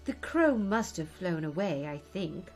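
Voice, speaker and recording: A woman's voice: on the low side, sing-song, unhurried, darkish tone, soft background music. A woman voicing an earnest, puzzled, polite young girl in a storybook, with a questioning manner. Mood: wistful. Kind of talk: storybook character voice.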